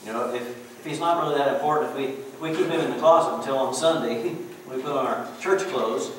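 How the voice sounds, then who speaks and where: A middle-aged man preaching to his congregation in a relaxed, chatty way, dropping in asides. His voice rings around a very echoey church, caught from some distance by the mic, with a faint hiss underneath. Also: medium pitch, fairly quick. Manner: folksy, conversational preaching with chuckles and "you know". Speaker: pastor. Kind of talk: sermon. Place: church sanctuary.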